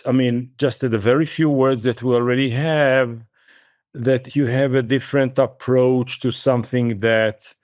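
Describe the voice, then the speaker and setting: A man's voice: medium pitch, a little mellow, no echo, studio quality. A middle-aged man hosting an interview, asking loose, wandering questions with friendly asides. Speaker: interview host.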